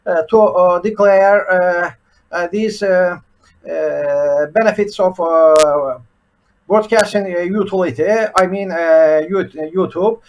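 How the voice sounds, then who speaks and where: A man's voice: sits high, rough recording. A man from Turkey, a native Turkish speaker with a Turkish accent, giving an unscripted presentation as a programming teacher, stopping on "uh" between nearly every few words. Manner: halting, with constant "uh" fillers between words. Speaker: programming teacher and channel host. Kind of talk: unscripted screen-share presentation.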